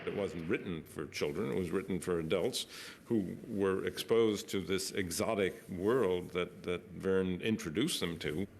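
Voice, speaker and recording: A man's voice: sits low, takes it slowly, vocal fry, a little hiss or hum. A man speaking reflectively and a bit hesitantly in a documentary interview.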